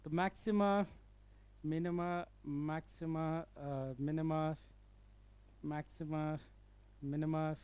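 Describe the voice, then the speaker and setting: High-pitched, clear-voiced Indian male physics teacher. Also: flat delivery, slow, pauses often, a little mellow. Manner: step-by-step, checks in with the listener. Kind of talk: explanatory problem-solving lesson.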